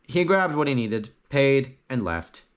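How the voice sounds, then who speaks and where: A man narrates a scripted fantasy tale in a middle-pitched voice. His intonation swings widely as he reads dramatically, phrase by phrase. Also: sing-song, a little slow, clear, resonant tone. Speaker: story narrator.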